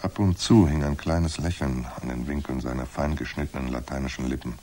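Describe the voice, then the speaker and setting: World-weary noir detective in a radio play, super deep voice, dry and wry. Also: male voice, fairly even intonation, a little fast, darkish tone. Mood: wry, detached. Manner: dry, sardonic, laconic. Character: wry, world-weary hard-boiled male private detective. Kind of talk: noir radio drama, narration and dialogue.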